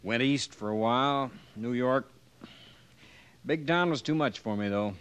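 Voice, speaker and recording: A man's voice: mid-range voice, unhurried, fairly bright tone, smooth, lightly acted. A man playing a weary, plain-spoken drifter cowboy in an old-time radio western, with a folksy, laid-back manner. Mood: relieved, easygoing.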